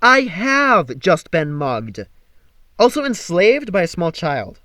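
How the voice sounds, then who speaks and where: A vain fallen god trapped as a teenage boy, played by a male reader, theatrical and whiny. The voice rides high and swings dramatically in pitch, in indignant audiobook style. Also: big pitch swings, slow pace, pushed and bright, no room sound, clean studio sound, full character voice. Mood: indignant, sarcastic. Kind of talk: first-person audiobook character acting.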